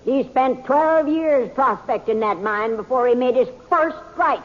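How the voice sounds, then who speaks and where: A woman's voice: high-pitched, a little slow. Feisty stubborn old west mine lady, blunt rustic talk, dull echoey old radio. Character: defiant, stubborn, peculiar frontier woman mine owner. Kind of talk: old-time radio western acting.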